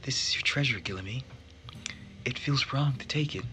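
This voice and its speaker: A man voicing a shy, humble, grieving young woman who speaks softly and hesitantly in a visual novel. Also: medium pitch, normal speed, pitched above the performer's own voice, close to an everyday voice. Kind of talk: visual novel character read-aloud. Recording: music behind the voice.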